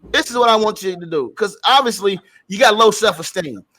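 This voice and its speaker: A man's voice: high-pitched, expressive, a little fast, pushed and bright. A man co-hosting a talk show, ranting casually in colloquial street talk, repeating himself and swearing mildly as he preaches. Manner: frequent mild swearing. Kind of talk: casual opinionated rant to co-hosts and audience.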